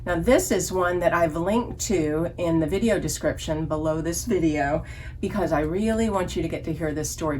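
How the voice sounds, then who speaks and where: Woman, children's librarian, slow pace, slightly low pitch, simple instructions and questions, steady background noise. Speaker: librarian leading a children's story time. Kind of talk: children's online lesson with songs.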